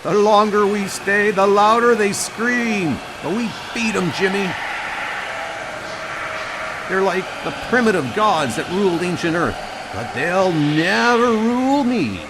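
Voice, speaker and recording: A man playing a defiant, raving colony leader in an amateur comic audio drama, ranting loudly and intensely. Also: high-pitched, lively intonation, slow pace, noisy background, heavily acted. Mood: defiant, fevered.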